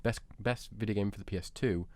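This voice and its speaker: Emphatic man with a low voice, debating. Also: brisk, darkish tone, no echo. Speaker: debate show panelist. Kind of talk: casual opinionated debate.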